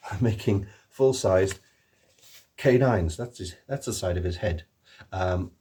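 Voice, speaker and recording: A middle-aged man with an accent somewhere between English and Welsh, chatting casually about his projects. His voice is deep, mellow and slightly husky. He rambles freely and fills his pauses with ums and restarts. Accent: mix of English and Welsh. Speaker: author, illustrator and voice actor. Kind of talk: casual video chat to camera.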